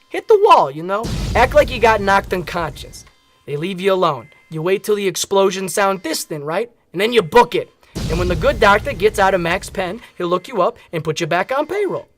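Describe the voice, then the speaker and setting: High-voiced, jaded veteran henchman. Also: animated, normal speed, soft background music. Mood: wry, reassuring. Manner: world-weary, wisecracking, streetwise. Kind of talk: comedy radio play acting.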